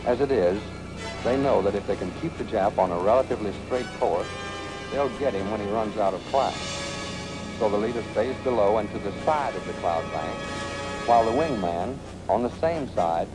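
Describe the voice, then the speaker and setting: A man's voice: fairly low pitch, poor audio. An American man narrating a 1940s military training film in a punchy, colloquial, dramatic storytelling manner. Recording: 1940s film soundtrack with music.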